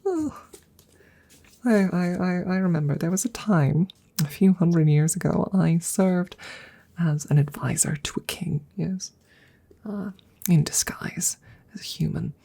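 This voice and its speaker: Woman playing a breathy, slow-talking courtly she-dragon. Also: on the low side, animated, mellow, exaggerated voice. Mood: amused, reminiscing. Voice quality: breathy, often slipping into whispers. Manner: hesitant, formal, wordy phrasing. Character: courtly, awkward centuries-old female dragon in human guise. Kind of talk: soft-spoken ASMR roleplay.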